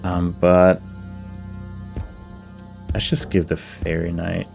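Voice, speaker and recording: Low-voiced man thinking aloud over game music. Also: completely flat delivery, slow, gravelly, a little mellow. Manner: deliberating, talks to himself and the game characters. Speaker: gaming video host. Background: game music behind the voice.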